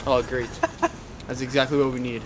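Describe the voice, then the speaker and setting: A man's voice: mid-range voice, level tone, brisk. A young man chats casually with his friends in slangy, profane speech. He is caught on a handheld camera with very heavy noise around him. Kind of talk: casual chat among friends. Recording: very noisy handheld camera audio.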